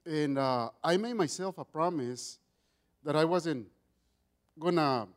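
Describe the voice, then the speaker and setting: A middle-aged man with a Mexican accent, Spanish his first language, testifying in church. His voice sits high for a man and carries loudly. He speaks earnestly and often restarts his sentences. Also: slow pace. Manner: loud voice, earnest with many restarts. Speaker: guest speaker giving testimony.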